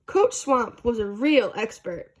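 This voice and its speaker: A woman's voice: on the high side, sing-song, takes it slowly. A woman reading a children's storybook aloud as a narrator.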